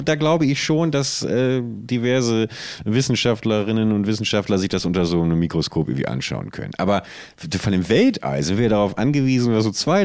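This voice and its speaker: A man's voice: medium pitch, vocal fry, a little mellow, no echo, studio quality. A man co-hosting a casual comedic podcast, rambling through absurd ideas in an ironic way. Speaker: podcast co-host.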